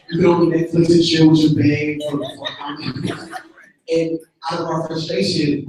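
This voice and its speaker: A man talking earnestly and casually on a panel, dropping slang and repeating himself. His voice sits a bit high for a man. It sounds distant and carries a heavy room echo. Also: darkish tone. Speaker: panelist, business founder.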